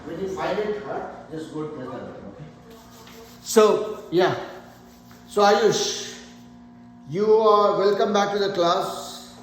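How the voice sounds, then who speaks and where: Echoey, high-voiced man teaching math. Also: slow pace, pauses often. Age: 40s. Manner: patient, repeats points, asks students questions. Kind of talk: live online class. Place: classroom. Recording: echoey room, faint background noise.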